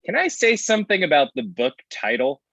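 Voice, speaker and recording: A man acting as a wisecracking, needling friend. His voice is bright and very animated, reading a comedy script over a slightly dull, lo-fi connection. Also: fairly high pitch, a little fast, exaggerated voice. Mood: teasing. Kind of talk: live table read of a comedy script.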